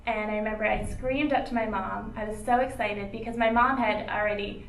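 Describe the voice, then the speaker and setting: Woman telling her story slow n thoughtful, echoey room, mic kinda far. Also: medium pitch, fairly even intonation, vocal fry. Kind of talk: personal interview recollection.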